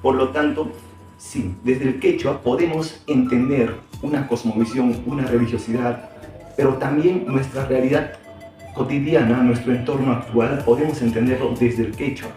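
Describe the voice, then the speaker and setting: Male teacher interviewee, halting and reflective, distant mic, roomy echo. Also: medium pitch, steady tone, dark tone. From Peru. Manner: reflective, hesitant with many fillers and restarts. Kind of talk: interview answers. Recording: very echoey room, far from mic, music behind.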